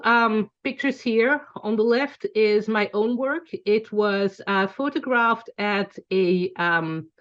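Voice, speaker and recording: A woman's voice: medium pitch, lo-fi sound. A woman in her forties from Belgium, with a Belgian accent, teaching an online class in a chatty way with frequent ums and self-corrections. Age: 40s. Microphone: video call.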